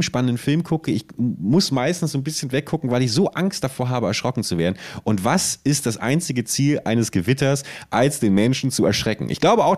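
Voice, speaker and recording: A man hosts a podcast in casual comedic chat, telling stories in an animated, slangy way. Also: medium pitch, a little hiss or hum. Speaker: podcast co-host.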